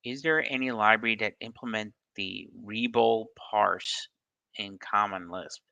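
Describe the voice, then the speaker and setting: A man's voice: on the low side, measured pace, gravelly, sharp tone, no echo, lo-fi sound. A man on a video call answering questions in a casual live session, hesitant, with many ums and restarts. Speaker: study group presenter answering questions.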